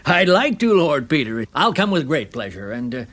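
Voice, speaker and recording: An actor playing a genial but self-interested American railroad tycoon, courteous and a little hesitant, in a period radio drama. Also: male voice, on the high side, very animated, moderate pace, a bit bright. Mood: obliging, genial.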